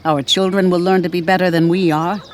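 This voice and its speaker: A woman voicing an aged, cheerful, mischievous historian with authority, her voice a little cracked and weary, telling her tale in measured, oratorical phrases. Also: lowish voice, level tone, lightly acted. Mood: solemn, exhortatory.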